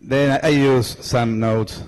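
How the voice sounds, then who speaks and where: Slow-talking Argentinian man presenting on stage. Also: medium pitch, fairly even intonation, darkish tone. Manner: halting non-native English with many fillers and restarts. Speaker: conference presenter. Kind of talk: conference talk. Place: large lecture hall stage. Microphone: handheld microphone.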